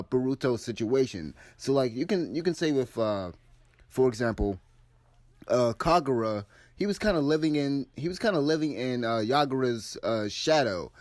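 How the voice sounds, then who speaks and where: Man with a cold, hoarse and dry. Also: mid-range voice, a little mellow, dry recording. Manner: rambling, many restarts and fillers. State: hoarse with a cold and sore throat. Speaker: anime commentary host. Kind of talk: casual video commentary.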